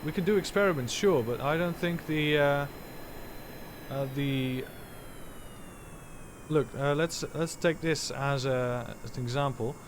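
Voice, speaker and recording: A man's voice: a little high, measured pace, pauses often, slight background noise. Chatty Dutch-native man streaming a game. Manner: rambling, self-correcting, chatty. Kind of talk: live gameplay commentary.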